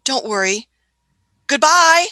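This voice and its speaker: A woman's voice: sits high, lively intonation, slow pace, bright, forceful tone, no room sound, heavily acted. A woman playing an eccentric, authoritative scientist-adventurer in a radio play, fussy and stammering, with a condescending manner. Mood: reassuring.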